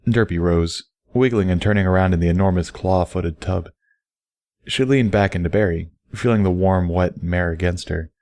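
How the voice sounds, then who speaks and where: A man's voice: sits low, normal speed, darkish tone, dry recording. A man reading a scripted story aloud as an audiobook narrator. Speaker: audiobook reader.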